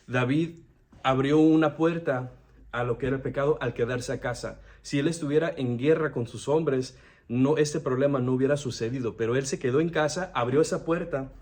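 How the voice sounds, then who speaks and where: A man's voice: mid-range voice, darkish tone. A young man giving an earnest devotional sermon, often stopping to restart his words. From United States. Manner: earnest, halting, frequent restarts. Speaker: devotional speaker.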